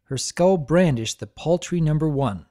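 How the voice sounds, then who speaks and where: A woman narrating a story in an expressive, dramatic reading. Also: sits low, a little slow.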